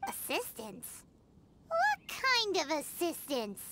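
A woman voicing a tiny, childlike fairy companion in a video game, excitable, sassy and blunt. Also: slow pace, heavy background noise, heavily acted. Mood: sassy, suspicious. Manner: squeaky, blunt, refers to self in third person. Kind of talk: video game cartoon-like acting. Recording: prominent game music behind.

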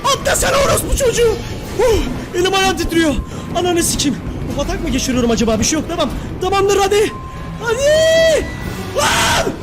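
Very high-pitched male game streamer, music behind. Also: moderate pace, a crowd in the background. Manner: shouts and shrieks excitedly, repeats words. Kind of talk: live game streaming commentary, excitable and sweary.

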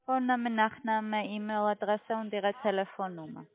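A woman's voice: medium pitch, fairly even intonation, moderate pace. A woman with a foreign accent, speaking non-native German as a direct, businesslike telemarketing caller. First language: not German. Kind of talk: sales phone call. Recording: tinny phone line.

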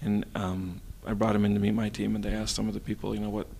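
A man with a low, gravelly voice talks thoughtfully into a handheld mic, pausing often with fillers. He sounds like a programmer being interviewed in a small office room. A faint hiss sits under the recording. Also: little pitch movement, warm tone. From Los Angeles, USA. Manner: thoughtful, halting, many fillers. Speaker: game programmer guest. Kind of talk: casual interview answers. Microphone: handheld mic held by interviewer.

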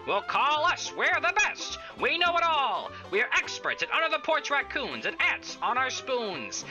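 Woman as a high, swooping peppy ad announcer. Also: very animated, measured pace. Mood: peppy, salesy. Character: peppy female pest-control advertising announcer. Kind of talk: rhyming parody radio advertisement. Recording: dull lo-fi sound, music bed.

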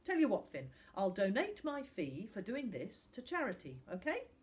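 A woman's voice: medium pitch, huge pitch swings, normal speed. A woman voicing a kindly, easygoing man, a star footballer, speaking casually in an audiobook. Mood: placating. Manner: casual, placating. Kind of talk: audiobook character acting.

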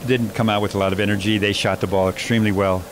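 A man coaching basketball, answering postgame interview questions in a measured way with many fillers and restarts. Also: on the low side, level tone. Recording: noisy background.

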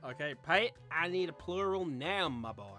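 A woman's voice: sits low, lively intonation, moderate pace, a bit bright, faint music behind. A woman hosting a casual word game with friends, bantering as she goes. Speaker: host. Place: online voice call.

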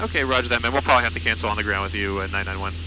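Male pilot, rapid casual cockpit talk on a headset, clear aircraft noise. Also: fairly low pitch. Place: small propeller plane cockpit. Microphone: aviation headset.

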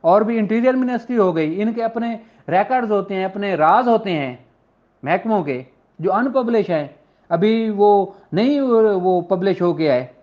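A man's voice: sits high, expressive. A man with a Pakistani accent giving an informal, explanatory lecture as a law teacher. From Punjab, Pakistan. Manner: explanatory, switches into slow deliberate reading. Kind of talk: informal lecture, explaining and reading out legal text. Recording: dull, lo-fi audio.

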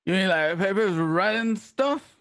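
A man's voice: high voice, animated, unhurried, creaky, a bit bright, dry recording, clean studio sound, heavily acted. A man playing an eager, dim-witted young outlaw sidekick in a radio drama. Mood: eager confused.

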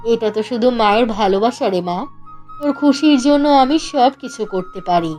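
A woman's voice: on the high side, moderate pace, darkish tone, faint music behind, voice pushed down, close to an everyday voice. A woman voicing a poor, devoted village mother in a cartoon fairy tale, tender and self-sacrificing.

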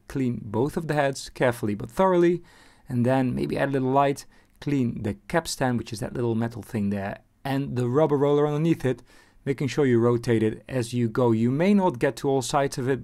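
Male how-to presenter, mid-range pitch. Casual step-by-step narration with a wry, informal touch. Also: mellow.

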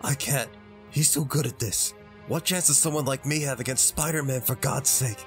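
A man's voice: medium pitch, moderate pace, natural voice. A man playing a defeated young man in a comic dub, pleading, bitter and self-pitying. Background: music.

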